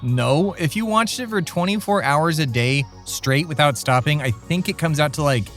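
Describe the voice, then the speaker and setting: A man's voice: fairly high pitch, moderate pace, fairly bright tone, faint music behind, close to an everyday voice. A man playing a casual, profane stoner buddy in a comedy audio drama, speaking in a slangy, exclamatory, conversational way. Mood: exasperated, reasoning.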